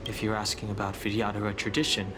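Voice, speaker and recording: A man voicing a reserved young wandering warrior in a video game, talking in a calm, measured way. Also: lowish voice, noisy background, close to an everyday voice. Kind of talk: game voice acting. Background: game music.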